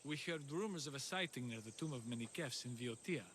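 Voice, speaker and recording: A man's voice: medium pitch, expressive, brisk, a bit bright, slight background noise, lightly acted. A man playing a courteous, worried scholar of ancient Greece in a video game, speaking politely and earnestly. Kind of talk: video game cutscene acting.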